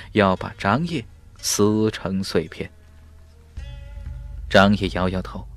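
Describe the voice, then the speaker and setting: Low-pitched, animated male audiobook narrator. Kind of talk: dramatic scripted narration of a fantasy novel.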